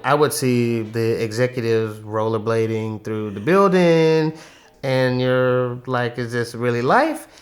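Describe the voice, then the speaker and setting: A man in his forties from Texas, telling his faith story in a relaxed way, chuckling as he talks. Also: medium pitch, slow pace. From Houston, Texas. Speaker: interviewee. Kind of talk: personal faith testimony.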